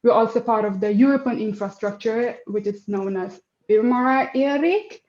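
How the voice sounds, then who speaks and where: A woman's voice: on the high side, normal speed, a little mellow, roomy sound, muffled recording. A woman in her twenties, originally from Afghanistan, answering interview questions in a hesitant way with many fillers and restarts. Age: 20s. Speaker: project manager, interview guest.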